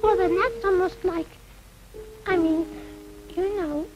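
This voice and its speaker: A man's voice: extremely high pitch, steady tone, slow pace, rough recording. A man voicing an earnest, plaintive little girl puppet in a children's educational show, speaking in a hesitant, childlike way. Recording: old 1960s film soundtrack, music behind.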